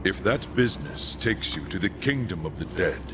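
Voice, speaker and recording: A loyal angel warrior in a video game, formal and earnest in every line. His voice sits low and steady. A clear bed of noise runs behind him. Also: normal speed. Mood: solemn. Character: loyal male angel warrior, solemn soldier. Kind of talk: video game cutscene acting.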